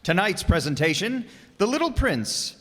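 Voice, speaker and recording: A man's voice: sits high, sing-song, normal speed, a bit bright. A man playing a formal, theatrical announcer in an old-time radio style. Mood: grand, formal. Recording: echoey hall.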